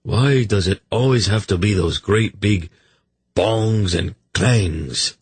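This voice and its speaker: A man's voice: on the low side, slow, a little mellow, breathy edge, dry recording, voice pushed up, full character voice. A man voicing a sardonic, plaintive young king with a mocking, theatrical manner in a fantasy audiobook.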